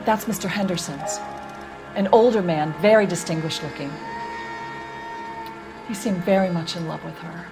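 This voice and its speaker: An actress playing a guarded, proper housekeeper in a video game, with a hesitant and evasive manner. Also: female voice, on the low side, moderate pace, pauses often, natural voice. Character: guarded, flustered female housekeeper, proper yet gossipy. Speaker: domestic worker. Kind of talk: video game cutscene acting. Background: music.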